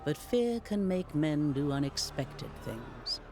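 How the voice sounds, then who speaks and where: An actor playing a storyteller of an ancient war chronicle in a video game, measured and wry. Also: male voice, fairly high pitch, very animated, noisy background, heavily acted. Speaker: narrator. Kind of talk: scripted dramatic narration. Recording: loud music behind the voice. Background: loud music.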